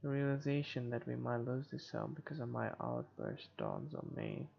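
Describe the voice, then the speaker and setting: Gamer reading a visual novel out loud, gravelly fry, kinda muffled, music playing. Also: mid-range voice, huge pitch swings, moderate pace. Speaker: video game playthrough narrator. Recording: muffled lo-fi recording with music behind. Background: game background music.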